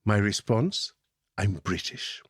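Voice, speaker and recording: A man's voice: lowish voice, expressive, slow, no echo, studio quality. A man co-hosting a podcast with wry, casual commentary. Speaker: podcast host.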